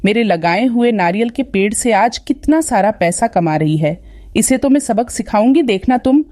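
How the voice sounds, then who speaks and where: A woman's voice: on the high side, expressive, moderate pace, dark tone, dry recording, studio quality, lightly acted. A woman playing a greedy, quarrelsome village wife in a cartoon story, with a dramatic scolding delivery. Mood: angry, scheming.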